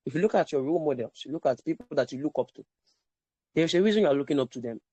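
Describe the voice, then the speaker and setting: A man's voice: a little high, animated, rapid-fire, warm tone, slightly rough, no room sound. Male sales coach, emphatic and repetitive, dry close sound. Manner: repetitive, emphatic, checks in with listeners. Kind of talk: live online class teaching. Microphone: online call.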